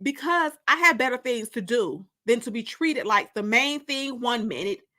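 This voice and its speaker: A woman's voice: on the high side, animated, normal speed, sharp tone. A woman voicing a stubborn, wounded young woman who speaks with indignant defiance in a dramatic audiobook reading.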